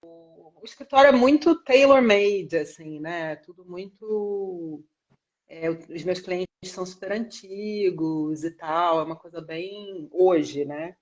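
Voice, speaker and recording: A woman's voice: lowish voice, slow, a little mellow, muffled recording. A middle-aged woman with a mixed Rio and São Paulo accent, chatting casually as an interview guest, rambling a little and often adding tag questions. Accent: Brazilian, mixed Rio and São Paulo. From Brazil, born in Rio and raised partly in São Paulo state. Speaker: interview guest, lawyer with own practice. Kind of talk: casual live conversation. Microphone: internet video call.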